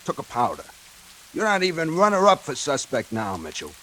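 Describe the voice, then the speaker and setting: Weary, sardonic police lieutenant, swooping delivery, sharp tone, hissy vintage radio thriller. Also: male voice, on the high side, wildly swooping pitch, moderate pace, exaggerated voice, low-quality recording. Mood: brusque. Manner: brusque, dry. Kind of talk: 1940s radio acting. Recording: hissy old 1940s radio recording.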